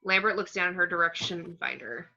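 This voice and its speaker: A woman reading screenplay action descriptions as narration, in a plain, measured way. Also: on the low side, pushed and bright, a little hiss or hum. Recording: slightly dull home microphone.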